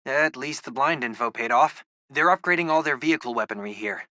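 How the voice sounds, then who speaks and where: Lively, wry teenage tech-geek buddy. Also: male voice, mid-range voice, fairly quick, no room sound, studio quality. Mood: focused. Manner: casual, wry, chatty. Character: geeky, upbeat teenage boy, loyal wisecracking best friend. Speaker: video game voice actor. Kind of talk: video game companion dialogue.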